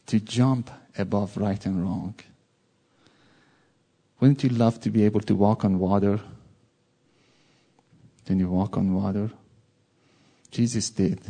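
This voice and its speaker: A man's voice: on the low side, mellow, slightly rough. A man from Iran with a Persian accent, giving an informal sermon with jokey asides. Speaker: preacher.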